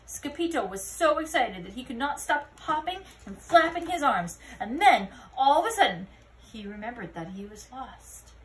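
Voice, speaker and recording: A woman reading a children's picture book aloud expressively, pausing to put questions to young listeners. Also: sits high, sing-song, low-quality recording. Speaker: read-aloud storyteller.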